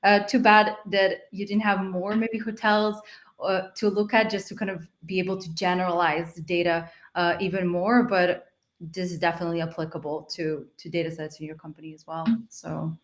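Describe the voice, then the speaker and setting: A woman's voice: fairly low pitch, level tone, moderate pace. A woman instructor giving casual, encouraging feedback on a video call, often repeating her phrases.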